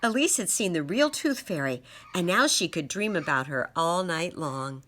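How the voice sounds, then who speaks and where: A woman reads to children as a storyteller and teacher. Her voice is slightly low yet bright, with lively intonation and deliberate emphasis. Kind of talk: children's read-aloud and simple lesson.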